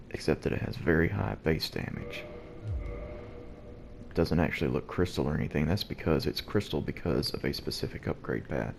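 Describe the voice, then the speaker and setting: A man quietly giving gameplay commentary at home early in the morning, keeping his deep, low voice hushed so he won't wake anyone. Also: creaky. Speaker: let's play host. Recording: noisy background hiss.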